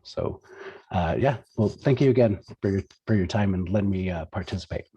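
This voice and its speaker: A male student giving a casual testimonial in a relaxed, earnest way, with many fillers. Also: deep voice, fairly quick, slightly rough.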